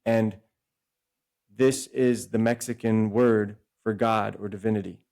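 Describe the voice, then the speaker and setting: A man's voice: fairly low pitch, steady tone, a little slow, gravelly, a little mellow. A man hosting a podcast, eagerly explaining at length with many asides and self-corrections. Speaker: podcast host and author. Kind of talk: casual podcast conversation.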